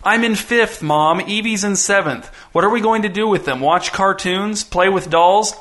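A stubborn grade-school girl from a storybook, performed by a man reading aloud. The pitch is pushed up high and the tone is bright and forceful, full of whiny, indignant protest. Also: lively intonation, fast talker, full character voice. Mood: outraged.

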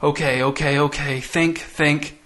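An anxious, bossy mother from a children's storybook, acted out by a male narrator. The voice is pitched fairly high and sounds sharp and strained, giving urgent orders to her daughters. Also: steady tone, a little slow. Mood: anguished, flustered. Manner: tense, urgent, commanding.

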